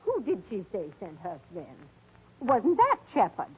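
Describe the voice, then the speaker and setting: Elderly radio-drama woman, animated pitch, high voice, outwardly dotty, hard underneath. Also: unhurried, husky, full character voice. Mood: befuddled. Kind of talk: old-time radio drama acting. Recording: muffled old radio recording.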